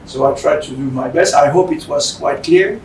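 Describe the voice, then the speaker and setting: A Belgian man with a French accent speaks haltingly in a semi-formal address. His slightly high voice has a gravelly creak, and it is picked up in a roomy space. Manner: halting, hesitant non-fluent English. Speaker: company managing director. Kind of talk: semi-formal presentation speech. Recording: roomy, distant mic with faint rumbling noise.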